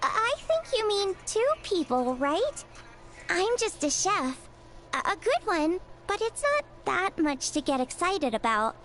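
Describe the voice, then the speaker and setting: Modest girl cook, squeaky-high, lively intonation, perky, video game character, light music behind. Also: measured pace, exaggerated voice. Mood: modest, chirpy. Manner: perky, self-deprecating.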